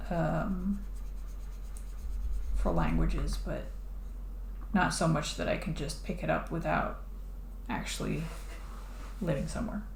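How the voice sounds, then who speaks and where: Low-voiced woman chatting while drawing. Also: creaky. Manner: rambling, lots of "like" and restarts. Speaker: streamer drawing a comic. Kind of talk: casual chat while drawing. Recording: echoey room, faint background noise.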